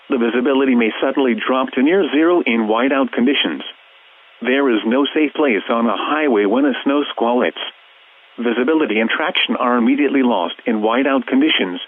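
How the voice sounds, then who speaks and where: Tinny radio man, synthetic weather bulletin voice. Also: medium pitch. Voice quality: synthetic text-to-speech voice. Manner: flat, formal, measured reading. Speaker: automated weather alert announcer. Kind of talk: scripted emergency weather bulletin.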